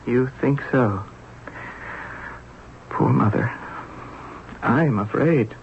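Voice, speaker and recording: An actor playing an anxious, brooding young Egyptian prince in a 1970s radio drama, speaking in a hesitant, plaintive, theatrical way. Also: male voice, mid-range voice, very slow. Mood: fearful, pleading. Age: young adult. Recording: muffled, noisy old radio recording.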